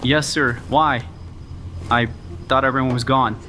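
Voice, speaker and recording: Male actor as deferential, uneasy soldier, mid-pitched, horror audio drama, clear background noise. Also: steady tone, unhurried, natural voice. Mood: unsettled. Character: male soldier, deferential and unsettled, reporting to superior. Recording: clearly noisy ambience behind voice.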